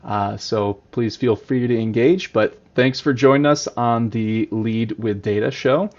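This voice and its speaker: A man's voice: medium pitch, unhurried, darkish tone, lo-fi sound. A man hosting a live-streamed data podcast, upbeat and chatty with frequent uhs. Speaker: podcast host.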